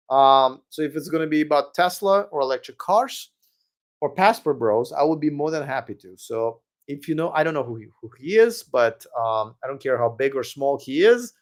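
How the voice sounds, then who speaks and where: Male streamer host, slightly high voice, hoarse, chatty and meandering. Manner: rambling, many fillers and restarts. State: losing his voice. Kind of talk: casual live stream Q&A.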